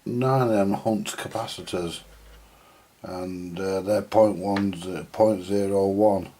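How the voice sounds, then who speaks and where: A man with a husky voice, giving informal, unscripted hands-on commentary as he mutters through a repair, now and then mildly sweary. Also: low-pitched, fairly even intonation, slow, dark tone. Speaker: host of a vintage radio repair video.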